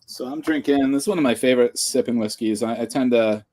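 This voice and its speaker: A male guest, a game designer, talking in a relaxed, unhurried way. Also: mid-range voice. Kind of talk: casual chat.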